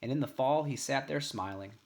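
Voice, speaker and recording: A man's voice: medium pitch, a little fast. Man reading a short story aloud. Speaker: story reader. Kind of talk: scripted narration of a short story, first person.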